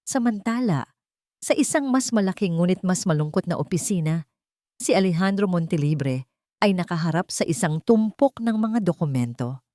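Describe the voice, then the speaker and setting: A woman narrating a scripted romantic drama in an emotive, deliberate way, phrase by phrase. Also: on the low side, lively intonation, mellow, no room sound, studio quality. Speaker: storyteller, narrator.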